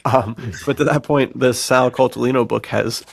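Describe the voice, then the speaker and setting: A man's voice: medium pitch, steady tone, normal speed, vocal fry, no echo. A man hosting a casual podcast chat about writing, talking in an effusive, informal way as a fellow author. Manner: effusive, informal, says "dude". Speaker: podcast host and fiction author.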